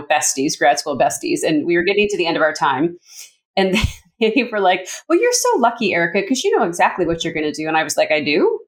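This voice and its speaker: A middle-aged woman from Canada with a North American accent, telling her story in an animated, chatty way as a coach and educator being interviewed. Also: mid-range voice. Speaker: communications coach, consultant and educator, interview guest. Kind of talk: casual conversational interview answers.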